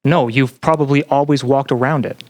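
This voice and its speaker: A young adult podcast guest in casual chat, explaining ideas earnestly and pausing to find words. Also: mid-range voice, steady tone, a little mellow. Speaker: podcast guest, student representative.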